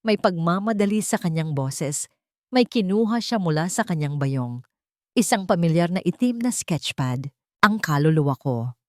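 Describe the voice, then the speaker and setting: Filipino woman narrating a story, slightly low voice. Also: lively intonation, normal speed, darkish tone, no room sound, studio quality. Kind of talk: scripted audiobook narration.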